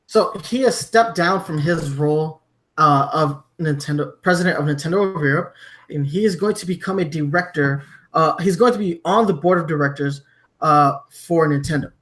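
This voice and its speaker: Halting, higher-pitched man hosting a podcast. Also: fairly bright tone. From Germany. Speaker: podcast host. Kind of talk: conversational news discussion.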